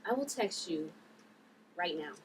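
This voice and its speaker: A woman's voice: fairly low pitch, expressive, measured pace. Woman acting an earnest church-going vigilante, off-mic. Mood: pleading. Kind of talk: table read of a script. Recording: distant mic, noisy room.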